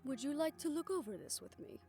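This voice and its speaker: Audio roleplay part: actress playing serene, forgiving female survivor, fairly high soft voice, measured and reassuring, light music. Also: big pitch swings, creaky, full character voice. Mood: gently eager. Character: gentle, forgiving woman, once experimented on, now at peace.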